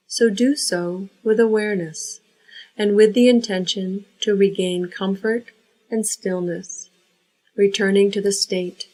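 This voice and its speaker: A woman leading a guided yoga nidra relaxation, giving unhurried, measured instructions. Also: medium pitch, darkish tone, rough recording.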